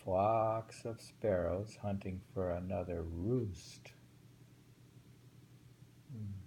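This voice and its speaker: A man's voice: low voice, fairly even intonation, extremely slow, vocal fry, warm tone, slight background noise, poor audio. A middle-aged man reading translated poems aloud and musing about them in a casual, thinking-aloud way, often rereading lines. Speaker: poetry reader.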